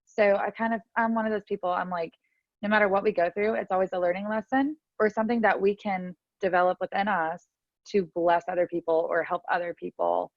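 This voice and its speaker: A woman's voice: medium pitch, steady tone, brisk, no room sound. A woman, telling a personal story candidly as an interview guest. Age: 30s. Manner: candid, chatty, lots of fillers. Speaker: podcast guest. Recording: dry, slightly muffled audio.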